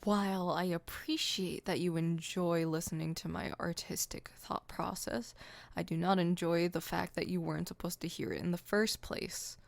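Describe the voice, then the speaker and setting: A woman's voice: lowish voice, steady tone, moderate pace, vocal fry, dry recording. A woman playing a theatrical, poetic supervillain in an ASMR roleplay, haughty and dramatic, stammering when flustered. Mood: flustered, indignant.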